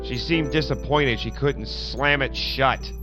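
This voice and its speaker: A man's voice: medium pitch, unhurried, dull audio. A man narrating a scripted audio drama as a journal entry. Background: prominent background music.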